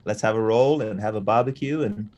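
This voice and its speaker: A man's voice: fairly low pitch, a little fast, a little mellow. A man in his thirties, raised in Fiji, telling stories as an interview guest, tagging lines with "yeah?" and "right?". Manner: chatty, keeps adding "yeah?" and "right?". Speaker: interview guest, jiu-jitsu coach. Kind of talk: casual storytelling interview.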